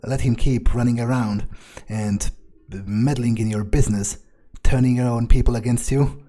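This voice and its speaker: A man's voice: mid-range voice, fairly even intonation, normal speed, warm tone. A man improvising in-character commentary for a game playthrough, with a hesitant, musing and sardonic way of talking. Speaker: gamer role-playing his character.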